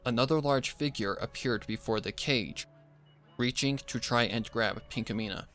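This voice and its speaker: A man's voice: mid-range voice, normal speed, fairly bright tone. A man reading a scripted horror story as narrator, with music playing behind him. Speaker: fan fiction narrator.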